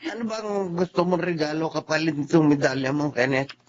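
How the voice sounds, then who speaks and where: A man's voice: a little high, steady tone, fairly quick, slight background noise, close to an everyday voice. An actor playing a patient, good-natured father of forty in a Filipino radio drama. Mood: affectionate. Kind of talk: Filipino radio drama acting, first-person narration and dialogue. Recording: muffled radio-drama audio with music behind.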